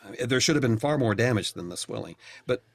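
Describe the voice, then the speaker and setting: An actor playing a patient, clinical doctor who explains test results firmly. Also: male voice, mid-range voice, animated, creaky, slightly rough, no room sound. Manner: measured, clinical, firm. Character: calm, patient male doctor delivering hard news. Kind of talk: audio drama acting.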